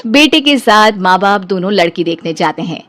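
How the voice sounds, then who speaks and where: A woman's voice: medium pitch, lively intonation, moderate pace, fairly bright tone. A woman narrating a scripted children's story.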